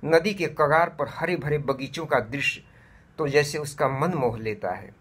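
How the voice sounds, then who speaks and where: Male storyteller for kids' moral tales: husky, deliberate, slow-paced delivery. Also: fairly high pitch, fairly bright tone. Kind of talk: scripted moral story narration for children.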